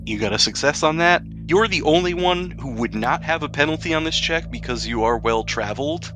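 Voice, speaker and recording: A man's voice: fairly high pitch, animated, a little fast, music in the background. A man narrating a tabletop roleplaying game as game master, switching between dramatic description and casual rules talk.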